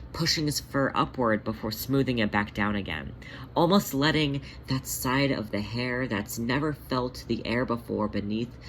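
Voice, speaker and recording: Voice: medium pitch, fairly bright tone, a little hiss or hum, low-quality recording. A narrator reading a story aloud in scripted, audiobook-style narration.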